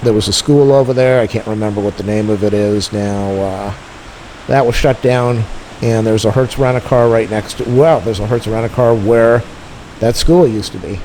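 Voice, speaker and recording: A man's voice: lowish voice, moderate pace, a little mellow, a little hiss or hum, poor audio. A man giving casual, rambling, explanatory off-the-cuff narration as a plane-spotting vlogger outdoors.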